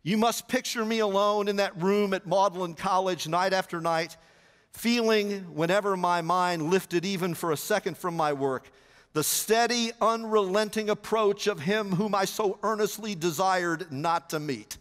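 A middle-aged pastor with a Southern American accent tells a story from the pulpit, his voice pitched high for a man. He moves and gestures across a big church stage, and his words ring out with a long reverb from the hall. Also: moderate pace, clean tone. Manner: animated, gesturing while pacing the stage. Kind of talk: sermon storytelling. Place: large church auditorium stage.

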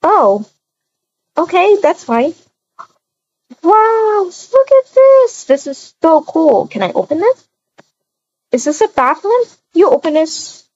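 A woman voicing a bubbly young woman in a game roleplay who gushes and squeals, her voice leaping high with wide swings. She talks slowly, and the recording sounds dull and lo-fi. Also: very animated, a little mellow, exaggerated voice. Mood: delighted. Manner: gushing, squealing, pleading. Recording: dull lo-fi mic.

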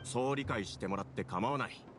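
A man voicing a brusque young railway caretaker in a Japanese anime game, speaking in a rough, casual, animated way. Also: mid-range voice, brisk, natural voice. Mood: matter-of-fact. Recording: music behind the voice.

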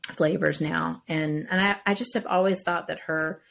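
A woman's voice: sits low, fairly even intonation, normal speed, creaky. A woman co-hosting a podcast in casual chat, rambling with restarts and asides. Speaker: podcast co-host.

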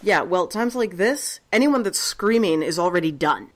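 A woman's voice: fairly low pitch, lively intonation, moderate pace, natural voice. A woman playing Tessa, a tough, sarcastic and profane survivor, in a fan audio drama. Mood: confrontational.

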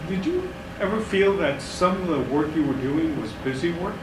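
A man in the audience asking a question in a measured way, with "uh" pauses. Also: on the high side, lively intonation. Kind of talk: question from the floor. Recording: echoey room, distant mic, noise.

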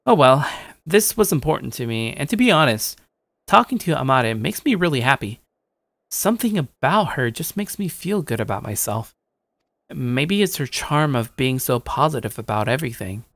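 A man's voice: medium pitch, big pitch swings, moderate pace, a bit bright, dry recording. Man acting as musing young gamer, dry recording. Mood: musing, upbeat. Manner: casual, musing, conversational. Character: young male gamer, shy, daydreaming, a little cocky. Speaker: narrator and lead character. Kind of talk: first-person narration of an anime-style audio drama. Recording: dry studio voice over music. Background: music.

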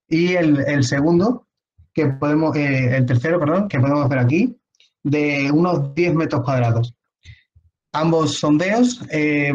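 A man in his thirties with an Andalusian Spanish accent, slightly hoarse, presenting archaeology over slides in a halting way full of restarts. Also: on the high side. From Spain. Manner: halting, many restarts and fillers. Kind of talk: conference talk over slides. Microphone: webcam on a video call. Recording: dull lo-fi video call audio.

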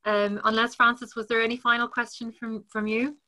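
A woman moderates a webinar panel and draws it politely to a close. Her voice is bright and crisp. She is heard over a video call. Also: fairly high pitch, little pitch movement, moderate pace. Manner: polite, warm, wrapping up.

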